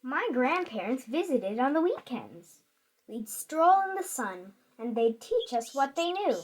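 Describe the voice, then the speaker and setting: Woman, children's story narrator, high voice, slow delivery, theatrical, music in the background. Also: very animated, rough recording. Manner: theatrical, emphatic. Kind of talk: expressive picture-book narration.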